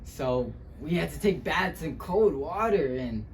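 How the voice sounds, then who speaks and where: Over a noisy room recording comes a wounded young Mexican-American man, played by a man in a dramatic stage monologue. His voice sits a little high, and he speaks very slowly, with heavy pauses as he looks back on a hard childhood. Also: lively intonation. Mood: wistful, earnest. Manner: dramatic, halting delivery with long pauses. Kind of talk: dramatic interpretation monologue, speech-competition acting.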